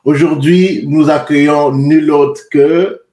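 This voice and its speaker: A man hosts a podcast and introduces his guest in an earnest, formal way, repeating himself for emphasis. His voice sits fairly high for a man, and the room around him rings with echo. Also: steady tone, slow pace, mellow. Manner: earnest, formal welcome with repetitions. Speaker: podcast host. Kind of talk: podcast interview. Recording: echoey room.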